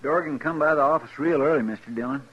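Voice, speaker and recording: An actor playing an eager, deferential frontier deputy in a radio western, with a folksy, rustic way of talking. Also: male voice, on the high side, fast, lightly acted. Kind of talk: old-time radio western acting. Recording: muffled vintage radio recording, faint noise.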